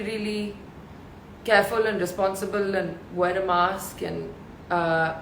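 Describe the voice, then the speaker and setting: Deep-voiced woman in her thirties on a live stream, chatty, laughs a lot, echoey room w faint hiss. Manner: chatty, laughs often, reads out questions. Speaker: live-stream host answering fans. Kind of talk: casual live chat.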